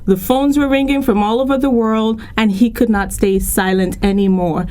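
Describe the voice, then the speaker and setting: A woman's voice: on the high side, fairly even intonation, normal speed, warm tone. Woman giving earnest interview commentary. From Lagos, Nigeria. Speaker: interview guest.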